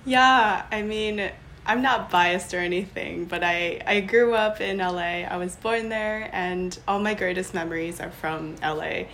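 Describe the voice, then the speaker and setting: A woman's voice: fairly low pitch, fairly bright tone, slight background noise. A young adult woman from Los Angeles, a podcast guest chatting casually and laughing often. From Los Angeles, USA. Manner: bubbly, laughs often, quick restarts. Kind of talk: casual interview chat.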